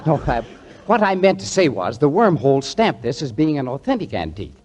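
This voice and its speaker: A man's voice: mid-range voice, very noisy, natural voice. A man playing a slick, evasive second-hand salesman in a 1940s radio comedy, persuasive and fibbing.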